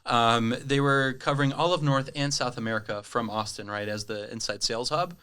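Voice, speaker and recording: A man from Brazil whose first language is Portuguese, a sales rep telling his career story in a casual interview, chatty and quick to laugh. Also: medium pitch, pushed and bright, clear, resonant tone. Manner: chatty, lots of "right?" tags and laughs. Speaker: guest, cloud sales rep.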